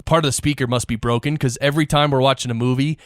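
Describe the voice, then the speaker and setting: A man's voice: mid-range voice, steady tone, fast, no room sound, studio quality. A man hosting an interview show, chatting in an informal, rambling, conversational way. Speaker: podcast host. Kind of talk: casual interview chat.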